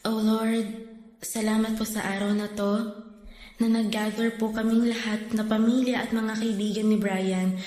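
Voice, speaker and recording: A woman acting a feisty, flustered young woman with a tender side, expressive and colloquial, in a romantic audio drama. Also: on the high side, measured pace, no room sound. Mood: solemn, prayerful. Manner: expressive, colloquial, exasperated yet affectionate.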